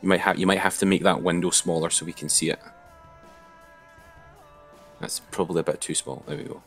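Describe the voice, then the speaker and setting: A man's voice: deep voice, steady tone, fairly quick, creaky, fairly bright tone, soft background music. A man giving an informal, step-by-step screen-share tutorial as an instructor.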